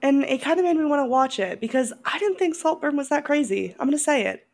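Female podcast co-host, higher-pitched and lively, opinionated and giggly in loose movie chat. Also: fast talker. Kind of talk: casual film chat.

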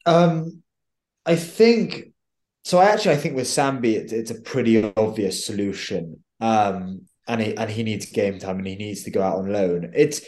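A man's voice: on the low side, moderate pace, darkish tone. A young man as a podcast guest, chatting about football with frequent stammered restarts. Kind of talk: casual football podcast chat.

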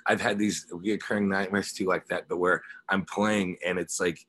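A man with a low voice speaks as a guest on a music interview. He is laid-back and conversational, leaning on "you know" as he goes. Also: fairly even intonation, a little slow, a bit bright. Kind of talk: casual chat, personal anecdotes.

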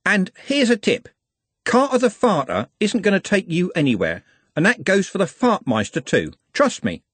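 A cocky young lad struts through this storybook scene, as a male reader puts him on. He talks high and boastful, with big swoops of pitch. A husky, dry close recording. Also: wildly swooping pitch, moderate pace, no room sound, heavily acted.

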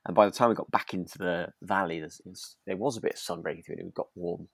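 A man with a low voice talks casually as a podcast co-host. He feels his way through sentences, rephrasing often, and the sound is close and dry. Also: fairly even intonation, vocal fry, slightly rough, no room sound. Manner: halting, trailing and rephrasing. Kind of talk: casual chat recapping a radio drama.

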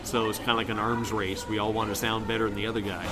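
A man's voice: level tone, quick pace, over music. A middle-aged American man chatting casually and reminiscing as a podcast co-host. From Kansas City, United States. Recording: clearly noisy, rumbling background.

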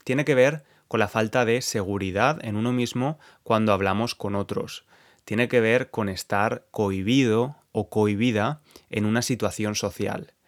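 Measured Spanish man, low voice. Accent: Spain Spanish. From Spain. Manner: clear, measured, explanatory. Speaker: podcast host teaching Spanish. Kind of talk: scripted podcast monologue for learners.

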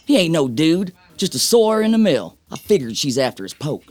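A man's voice: a little high, very animated, fairly quick, darkish tone. A man playing a cocky, slangy 1890s mill-town yarn-spinner in an audio drama, telling tales eagerly. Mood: gossipy, cocky. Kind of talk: scripted audio drama acting.

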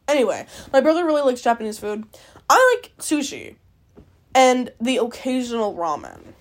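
Lively young Canadian woman rambling. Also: sits high. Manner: rambling, lots of "like" fillers, casual swearing. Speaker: podcast host. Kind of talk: casual solo chat.

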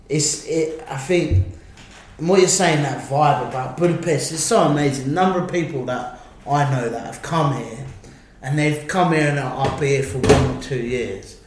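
A man's voice: mid-range voice, a little hiss or hum. An English man chatting casually on a podcast, rambling and restarting his sentences. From England. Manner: rambling, restarts, laughs often. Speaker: podcast host. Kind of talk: casual chat. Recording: very echoey room, faint ambience.

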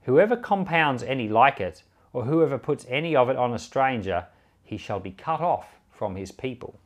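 A man reading scripture aloud in a measured, emphatic, solemn way. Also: medium pitch, animated. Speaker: Bible reader and podcast host.

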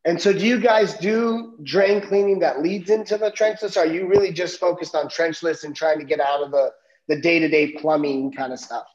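Man, podcast host, slightly high voice, relaxed, repeats words, muffled lo-fi sound. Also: normal speed, a little hiss or hum. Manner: relaxed, self-deprecating, repeats words as he starts. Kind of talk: casual interview questions. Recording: dull lo-fi recording.

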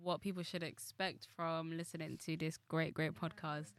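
A woman's voice: low-pitched, flat delivery, normal speed, no echo. A woman of twenty-three with a London accent, co-hosting a podcast in easygoing, filler-heavy chat. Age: 20s. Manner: chatty, laid-back, heavy filler use. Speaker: podcast co-host. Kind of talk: casual chat.

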